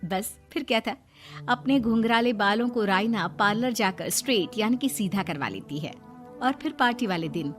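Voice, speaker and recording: A woman storyteller delivering scripted narration for an animated moral story. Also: medium pitch, moderate pace, faint music behind.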